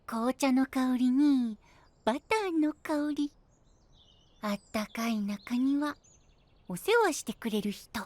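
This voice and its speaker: A man's voice: very high voice, slow, long pauses, no room sound. A man voicing a cute, childlike little boy knight, sweet and playful, in an anime-style audio drama. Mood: playful, coaxing.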